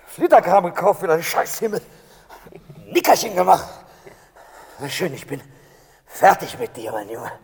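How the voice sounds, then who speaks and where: A man's voice: fairly high pitch, creaky, a little mellow. An actor playing a bitter man sarcastically ranting at God in a radio drama. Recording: long hall reverb.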